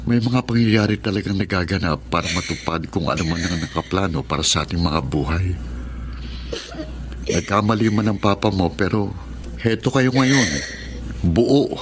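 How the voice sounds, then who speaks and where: Radio drama: man as calm, deep-voiced comforting friend, gentle and reflective. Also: slight background noise.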